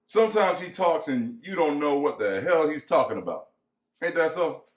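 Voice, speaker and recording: A man's voice: a little high, animated, normal speed, close to an everyday voice. A man acting as bitter, lonely American ranch hand, echoey. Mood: probing. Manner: folksy rural vernacular, musing and pressing. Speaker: actor. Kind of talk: dramatic reading of a novel. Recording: echoey room.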